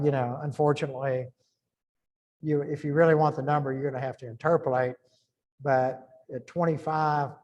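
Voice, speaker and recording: Halting man thinking aloud in lecture. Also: a little high, a little mellow, highs cut off, slight background noise. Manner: thinks aloud, halting restarts while working numbers. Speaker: engineering lecturer. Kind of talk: informal classroom lecture.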